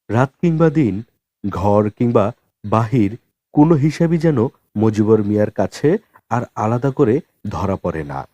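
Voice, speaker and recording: A man narrating a novel as an audiobook in a measured storytelling manner. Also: mid-range voice, dark tone, no echo.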